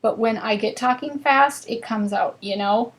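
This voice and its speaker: A woman's voice: on the high side, normal speed, noisy background. Chatty woman hosting a craft class. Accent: northern US. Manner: chatty and bubbly, chatting with viewers while working. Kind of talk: live crafting demonstration.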